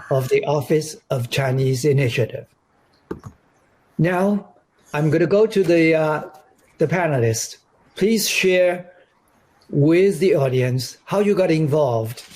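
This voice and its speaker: A man's voice: a little high, a little mellow, breathy edge, poor audio. A man moderating a panel, making polite, formal introductions over a video call. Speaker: moderator and professor.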